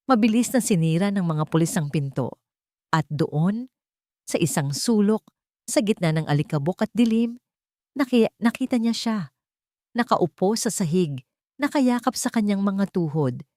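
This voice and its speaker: A woman's voice: medium pitch, animated, moderate pace, pauses often, a little mellow, dry recording, studio quality. A woman reading a scripted, dramatic audio story as a storyteller.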